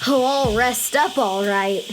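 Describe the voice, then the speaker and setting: A woman's voice: on the high side, slow, music in the background. An actress playing a giant, hot-tempered and boastful inflatable summer girl in a children's radio play, with a dramatic, exclaiming delivery. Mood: sulky, vengeful.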